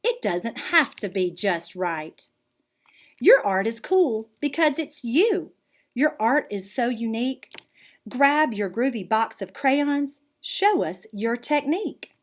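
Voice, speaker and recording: A woman's voice: fairly high pitch, very animated, takes it slowly, exaggerated voice. A woman voicing supportive, cheerful animal friends in a picture book, encouraging and emphatic.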